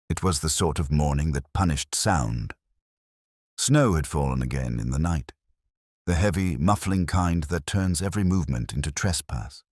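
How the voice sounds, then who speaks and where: A man playing a melancholy detective who recalls a case in measured, literary first-person mystery narration. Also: very deep voice, no room sound, studio quality, exaggerated voice. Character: melancholy older male English police detective recalling a case. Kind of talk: scripted first-person mystery narration, radio drama style.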